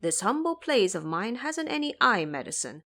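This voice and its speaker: A woman's voice: a little high, sing-song, normal speed, fairly bright tone. A woman voicing an elderly male farmer, a courteous and solemn host, in an audio drama. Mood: humble.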